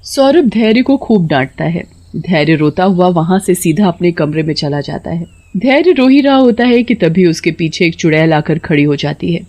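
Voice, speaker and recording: A woman's voice: lowish voice, animated, moderate pace, few pauses, a little mellow. A woman reading a scripted story as its narrator.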